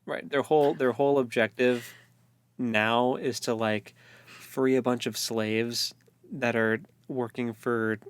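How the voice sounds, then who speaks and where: A man's voice: medium pitch, fairly even intonation, slow, clear, resonant tone. A man co-hosting a casual book discussion, recapping the story informally with hesitant, joking asides. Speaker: co-host of a book read-along show. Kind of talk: casual chat, recapping a fantasy novel.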